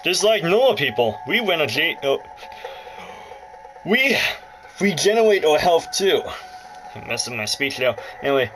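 A man's voice: fairly high pitch, very animated, normal speed, pauses often, rough recording. A man giving casual let's play commentary, talking to viewers in a playful, mildly grumbling way. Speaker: gaming video creator. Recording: game music playing from handheld console. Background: loud game music and audio.

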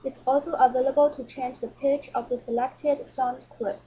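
A woman reading scripted narration for a software tutorial. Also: high-pitched, flat delivery, moderate pace, breathy edge, low-quality recording.

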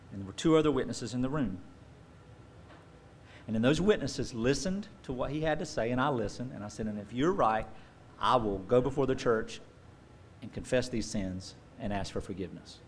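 Male pastor, 40s, sermon storyteller, mid-pitched, animated, stand mic, faint hiss. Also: normal speed, long pauses. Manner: animated storytelling, acts out quoted voices. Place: church stage with dark curtain. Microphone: stand mic at lectern.

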